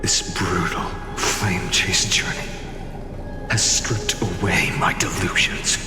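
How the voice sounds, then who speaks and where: An actor playing a solemn, world-weary hero on a grim quest in a video game. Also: male voice, low voice, fairly even intonation, slow. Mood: weary, resolute. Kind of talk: dramatic video game acting. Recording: long echoing reverb under music.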